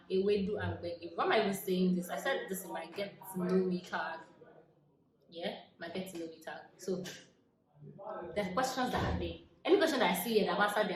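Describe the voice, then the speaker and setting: A woman in her twenties with a Nigerian accent, chatting casually to camera as she answers viewer questions, laughing often and dropping into soft asides. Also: on the low side. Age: 20s. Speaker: vlogger. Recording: echoey room with faint noise.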